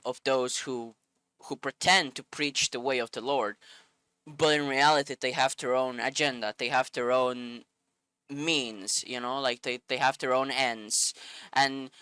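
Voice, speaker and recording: Sniffly man with a bright, clear voice. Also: medium pitch, no echo. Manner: earnest, searching for words. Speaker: Bible video host. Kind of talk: scripture reading then casual reflection.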